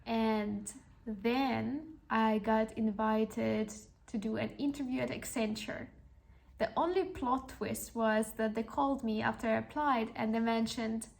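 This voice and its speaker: A woman's voice: a little high, fairly even intonation, measured pace, gravelly, a little mellow. A woman in her twenties, a podcast host telling a personal story in an earnest, encouraging way with frequent restarts. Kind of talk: reflective personal storytelling monologue.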